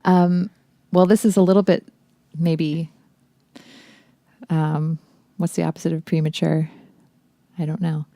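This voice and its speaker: Easygoing woman podcast host with a low voice, recorded dry. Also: level tone, measured pace, dark tone, no room sound. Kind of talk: casual interview.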